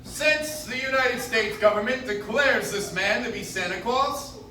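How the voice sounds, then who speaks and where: An actor playing an exasperated, commanding courtroom judge in a live radio play, recorded from the audience in an echoey room. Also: male voice, very high-pitched, unhurried, pushed and bright, exaggerated voice. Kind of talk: live stage re-creation of old-time radio play. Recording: distant, noisy audience recording in echoey cafe.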